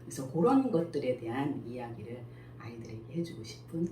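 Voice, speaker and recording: A middle-aged woman with a very deep, low voice. She answers questions slowly and thoughtfully, often pausing to search for words. The recording is roomy, with a faint hiss behind her. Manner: calm, thoughtful, many pauses and fillers. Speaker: school principal and picture book author. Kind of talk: interview answers. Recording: echoey room with faint noise.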